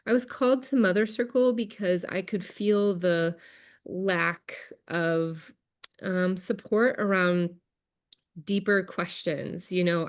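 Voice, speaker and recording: A woman with a slightly low voice hosts a casual group talk as a circle facilitator. She speaks in a relaxed way, pausing with fillers. The recording sounds like a video call. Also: clear, resonant tone.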